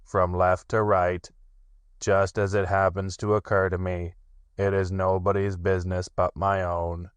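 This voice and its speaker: A man voicing a gloomy, sarcastic old male donkey with a slow, flat, sardonic drawl. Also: low-pitched, clear, resonant tone, no echo, pitched below the performer's own voice.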